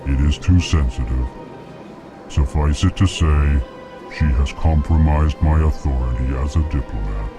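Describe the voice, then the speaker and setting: An actor voicing a stiff, emotionless alien male diplomat who announces his feelings in formal speech. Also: measured pace. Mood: troubled. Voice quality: very deep, flat, states emotions aloud. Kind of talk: video game voice acting. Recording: game audio over music.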